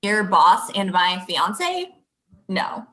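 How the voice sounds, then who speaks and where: A woman acts a patient businesswoman of thirty in a comedy. She is polite and speaks in an everyday, middle-pitched voice. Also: very animated, extremely slow, a bit bright, exaggerated voice. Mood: restrained. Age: young adult. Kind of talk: table read of a comedy script. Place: indoor room at home, video call. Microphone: computer mic on video call.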